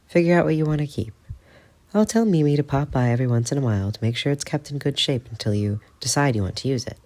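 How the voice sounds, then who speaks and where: A man putting on a calm, wry mother. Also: fast, lightly acted. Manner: calm, casual, slightly higher put-on voice. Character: composed, wry middle-aged mother and guardian.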